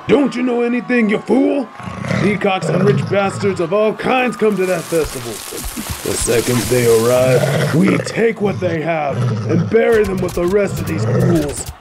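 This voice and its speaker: A man's voice: high voice, lively intonation, measured pace, noisy background. A man voicing a brash, menacing wolf bandit leader in an audio roleplay, taunting and swaggering as he orders his gang about. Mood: sneering menace. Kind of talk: scripted fan audio roleplay acting.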